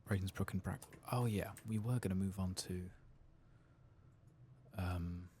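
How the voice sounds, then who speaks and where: A soft-spoken man hosting an ASMR game playthrough, muttering to himself and at times dropping to a whisper. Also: sits low, a little slow, a little hiss or hum. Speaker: host of a game playthrough channel.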